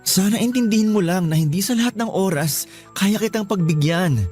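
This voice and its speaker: An actor playing a tired young husband who pleads and gets flustered in a radio drama, with faint music behind. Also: male voice, high-pitched, brisk, a little mellow, lightly acted. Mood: earnest. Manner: weary, pleading, flustered when pressed. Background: background music.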